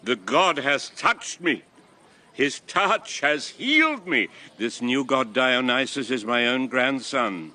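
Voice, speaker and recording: A man's voice: mid-range voice, bright, forceful tone. An actor playing an old former king and grandfather, a solemn, impassioned convert to a god, in a classical radio drama. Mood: reverent. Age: old, past retirement. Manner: solemn, impassioned, theatrical delivery.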